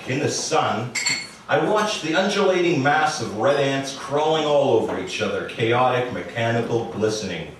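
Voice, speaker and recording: A man giving a live author's reading of first-person prose in a measured, dry storytelling manner. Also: mid-range voice. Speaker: author reading at a literary event. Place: indoor literary party, before an audience. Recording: echoey room, distant mic, faint noise.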